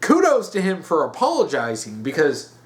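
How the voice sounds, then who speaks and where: A man with a fairly high voice delivers a casual opinion rant. His pitch swings widely as he stresses his points, and he sounds blunt and exasperated. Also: huge pitch swings, normal speed. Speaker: online commentator.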